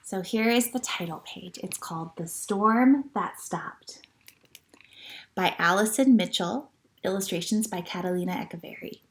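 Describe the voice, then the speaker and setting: A woman leading a children's church storytime at home, talking gently to young listeners with questions and reading aloud. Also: mid-range voice, unhurried, roomy sound. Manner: gentle teacher talk, asking children questions.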